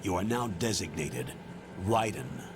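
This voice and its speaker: Low-voiced stern colonel, noisy capture. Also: male voice, animated, unhurried. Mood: stern, matter-of-fact. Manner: authoritative, clipped, instructional. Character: stern older male military colonel, commanding and matter-of-fact. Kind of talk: scripted video game radio briefing.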